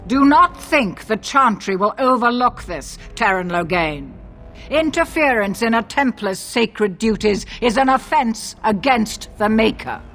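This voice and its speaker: An actress playing a stern, admonishing high priestess in a fantasy game. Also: female voice, animated, measured pace, a bit bright, faint music behind. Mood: stern warning. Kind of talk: dramatic fantasy game acting.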